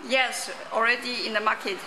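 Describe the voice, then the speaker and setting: A woman in her thirties with a Chinese accent guides a tour in brief, halting answers. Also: on the high side, fairly even intonation, reverberant. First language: Mandarin Chinese. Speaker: sales manager guiding a tour. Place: open-plan office and small factory. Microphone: camera held nearby. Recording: clear office and factory noise.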